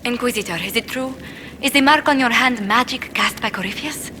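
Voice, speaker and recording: Courtly noblewoman diplomat, video game dialogue, refined, wistful, measured, clear background noise. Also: on the high side, pushed and bright. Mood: reflective concern.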